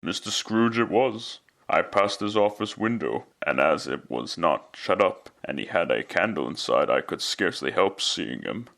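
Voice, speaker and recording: A man's voice: low voice, lively intonation, moderate pace, creaky, hardly any pauses, a bit bright, exaggerated voice. A man playing a genial husband chatting to his wife, in classic radio drama style. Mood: gossipy, reflective.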